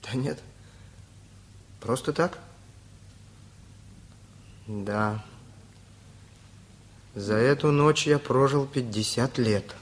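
An actor playing a lovesick, wounded teenage boy, earnest and halting, in a radio play. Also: medium pitch. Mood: hurt, subdued.

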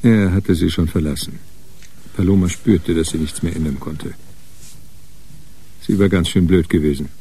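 A man narrating a crime radio play in a measured, sober storytelling manner. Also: low-pitched, fairly even intonation, warm tone, breathy edge.

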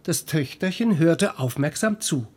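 An actor playing a small, skeptical, gossipy doctor with a husky voice and a knowing, measured manner in a radio drama. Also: male voice, on the high side, animated, darkish tone. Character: skeptical, gossipy male doctor, small and gaunt, shrewd confidant.

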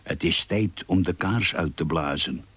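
A man's voice: low voice, unhurried, fairly bright tone, breathy edge, dry recording, heavily acted. A man voicing a fretful, easily alarmed owl from a children's storybook, with dramatic exclamations. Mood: puzzled, worried.

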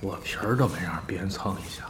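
In an echoey, noisy recording, a middle-aged business boss acted by a man speaks in a fairly low voice. He is measured and authoritative, a proud elder who grumbles casually yet indulges the young. It is modern audio drama acting. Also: lightly acted. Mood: indulgent.

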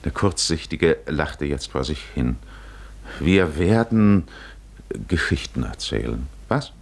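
A man with a deep voice narrates a literary radio drama in the style of the 1950s. He speaks slowly and musingly, measuring out his phrases with deliberate pauses. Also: very animated, creaky.

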